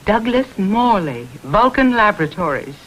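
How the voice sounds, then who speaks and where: Worried wife, bright telephone voice. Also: female voice, mid-range voice, big pitch swings, moderate pace. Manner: anxious. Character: worried wife phoning for help. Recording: thin voice over telephone line.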